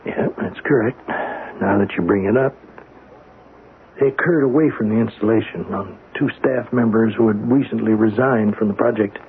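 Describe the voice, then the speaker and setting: A man's voice: fairly low pitch, normal speed, lightly acted. An actor playing a grave, matter-of-fact male doctor, explaining emphatically in a 1970s radio drama. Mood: resigned, explanatory. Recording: muffled, noisy old radio broadcast.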